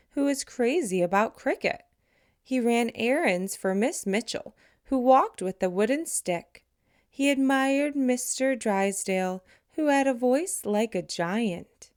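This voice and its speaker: A woman with a fairly high voice reads a children's storybook aloud. She goes very slowly and deliberately, giving the story expressive shape for young listeners. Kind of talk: children's picture book read-aloud.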